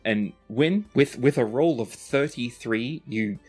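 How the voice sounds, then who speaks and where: A man's voice: mid-range voice, slow, a little mellow, husky, faint music behind. A man narrating a tabletop roleplaying game as game master, improvising scenes in a conversational way.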